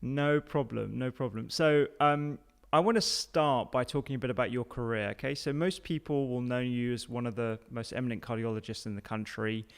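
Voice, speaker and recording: A man's voice: mid-range voice, normal speed, creaky, few pauses, smooth. A man hosting a conversational podcast interview in an informal, enthusiastic way.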